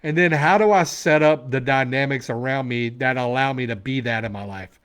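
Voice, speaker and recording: A man's voice: medium pitch, normal speed, a bit bright, smooth. A man in his forties giving a blunt, emphatic motivational talk as a men's coach, swearing freely to a room. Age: 40s.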